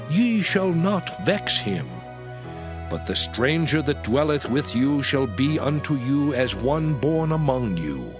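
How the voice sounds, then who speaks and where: Man acting a solemn divine lawgiver over music. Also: medium pitch. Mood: solemn, commanding. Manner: solemn, measured, emphatic declamation. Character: God as solemn, commanding male lawgiver. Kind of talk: dramatized scripture reading in archaic English. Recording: music under the voice.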